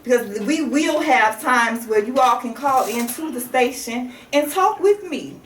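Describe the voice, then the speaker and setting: A middle-aged American woman from Chicago hosting a radio show, talking casually and motivationally to listeners in a halting, deliberate way. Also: sits high, animated. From Chicago, United States. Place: small radio studio. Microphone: studio microphone on desk. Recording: roomy, distant mic, faint background noise.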